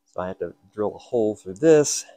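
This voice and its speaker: Male hobby repair host: lowish, soft-edged mellow voice, informal, talking to himself at the workbench. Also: expressive, brisk, dry recording. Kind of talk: casual hands-on repair narration. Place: indoor workshop at a workbench.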